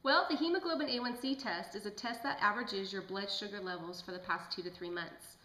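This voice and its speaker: Женщина играет старательную лаборантку, звонкий голос, эхо. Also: female voice, medium pitch, a little fast, bright, forceful tone, close to an everyday voice. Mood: explanatory. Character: polite, studious female lab technician guest. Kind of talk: scripted amateur lecture. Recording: echoey room.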